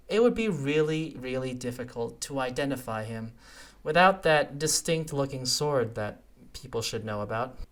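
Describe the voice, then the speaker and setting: A reviewer giving casual, slangy video commentary on a game story, sarcastic and exasperated as they rant. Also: mid-range voice.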